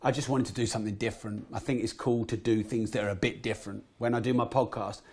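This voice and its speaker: A man in his thirties with a croaky voice, a podcast guest telling animated stories and swearing casually. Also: on the low side, fast. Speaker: guest entrepreneur. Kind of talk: podcast interview storytelling.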